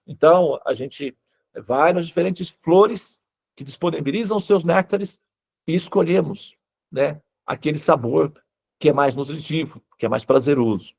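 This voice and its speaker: A man's voice: a little high, unhurried, vocal fry, darkish tone. A Brazilian man speaking Brazilian Portuguese as a spiritual teacher, explaining in an informal lecture style and often checking in with short tag questions.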